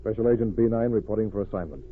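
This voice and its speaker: A quick-talking special agent played by a man speaks with businesslike sympathy, like an investigator at work. It is old-time radio drama, thin and tinny with faint noise behind the voice. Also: lowish voice, close to an everyday voice. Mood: brisk, formal. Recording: tinny, noisy vintage radio recording.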